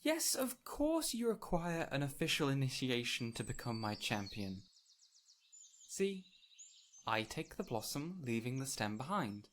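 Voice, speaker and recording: A man playing a gentle, whimsical fey spirit in an audio roleplay, speaking in a theatrical, formal, old-fashioned way straight to the listener. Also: medium pitch, sing-song, unhurried. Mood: gently explaining. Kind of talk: intimate audio roleplay, addressing the listener directly. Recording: clear ambient noise with birdsong. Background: birdsong and forest ambience.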